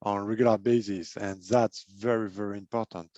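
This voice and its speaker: Non-native male host, halting and filler-heavy, muffled and dead sound. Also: lowish voice, steady tone, a little mellow, dry recording. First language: not English. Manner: hesitant, many fillers and repeated words. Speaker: host, life and business coach. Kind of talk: casual interview chat.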